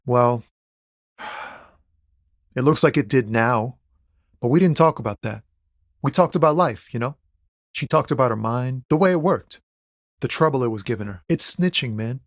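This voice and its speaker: Casting: a man to play a game's laid-back lorry driver. Medium pitch, casual and earnest, streetwise, halting when pressed to betray a friend; soulful, loyal. Dry recording. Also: no room sound, close to an everyday voice. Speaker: video game voice actor. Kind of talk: conversational video game character dialogue.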